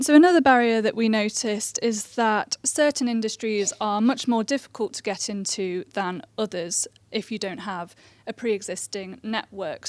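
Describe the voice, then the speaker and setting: A young woman in her twenties with a quiet, soft voice, presenting as a recent graduate on a conference panel. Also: medium pitch, no room sound. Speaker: careers assistant, panel speaker.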